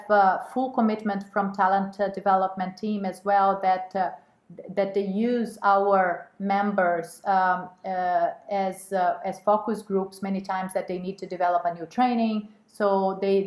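Hesitant woman in an echoey room. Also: mid-range voice. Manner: hesitant, many fillers and restarts. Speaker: guest, women's network co-chair. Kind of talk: casual interview talk.

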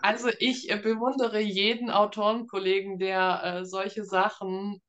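A woman's voice: mid-range voice, little pitch movement, unhurried, a bit bright. A woman in her thirties from Germany, an author in a casual interview, often laughing as she talks. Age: 30s. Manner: giggly, often laughs while talking.

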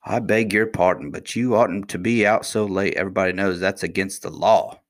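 A man's voice: low-pitched, steady tone, moderate pace, lightly acted. A man voicing a cheerful, fearless twelve-year-old miner boy in a storybook, plain-spoken and self-assured. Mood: brisk, bold.

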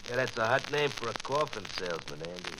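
A man's voice: mid-range voice, animated, quick pace, close to an everyday voice. An actor playing a dim, fawning gangster henchman with mocking slang in a 1940s radio crime drama. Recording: crackly old-time radio recording.

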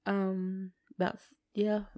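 A woman's voice: mid-range voice, completely flat delivery, takes it slowly, warm tone, no room sound, clean studio sound. A middle-aged woman chatting casually and conversationally as a podcast co-host. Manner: colloquial, conversational. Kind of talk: casual chat.

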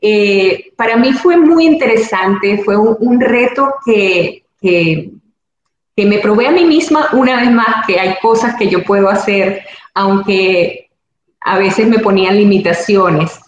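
A woman's voice: medium pitch, takes it slowly, lo-fi sound. A woman from Venezuela with a Venezuelan Spanish accent, an actress and producer giving thoughtful, earnest interview answers. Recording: very echoey room, faint background noise.

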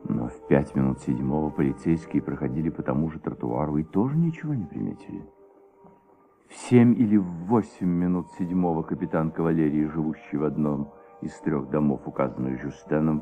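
A man performing as the dramatic, measured narrator of a detective story in a Soviet radio play. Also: very deep voice, animated. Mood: matter-of-fact. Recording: dull old radio recording, faint music.

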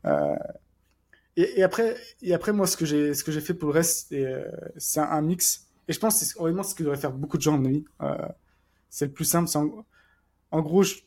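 Fast-talking husky man. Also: a little high, warm tone. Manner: rapid, rambling, many fillers and restarts. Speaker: podcast co-host. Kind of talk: casual chat.

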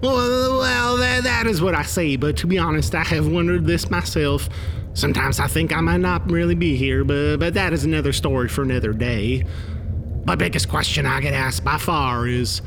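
A man with a somewhat high voice delivers an earnest, folksy comic monologue as a show host. His phrasing is quirky, with small stammered restarts. There is clear background noise behind him, like a steady rumble. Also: moderate pace. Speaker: podcast host and author.

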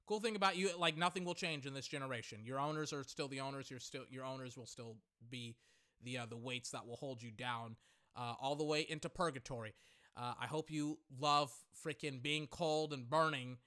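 A young man hosting a podcast, reacting live while he watches, excitable and swearing freely, his voice going hoarse. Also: medium pitch, brisk. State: voice getting hoarse. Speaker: podcast host.

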